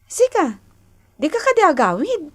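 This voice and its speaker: Coy young woman, high register, Ilocano Filipino accent, radio serial. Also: wildly swooping pitch, normal speed, full character voice. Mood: coy, pleading. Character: sweet, coy young woman, close friend. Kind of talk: Ilocano radio drama acting.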